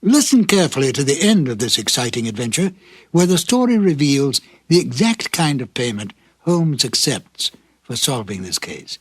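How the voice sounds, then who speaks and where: A man hosting a program with scripted narration, dramatic and deliberate like a storyteller, in a slightly husky voice. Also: medium pitch, sing-song, no room sound. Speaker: host introducing old radio episodes.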